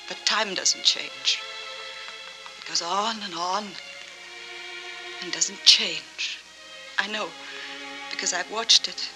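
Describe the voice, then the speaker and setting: An actress playing a lonely, wistful woman with a hazy memory in a 1940s film noir, speaking in halting, dreamy, hushed phrases. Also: lowish voice, rough recording. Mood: sorrowful, hushed. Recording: old 1940s film soundtrack, music behind.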